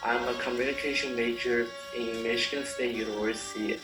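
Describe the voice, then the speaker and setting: An eighteen-year-old man answers in careful, halting phrases. He sits far from the mic in an echoey room, with clear music underneath. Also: mid-range voice, steady tone. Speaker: communication student. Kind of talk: casual interview answers.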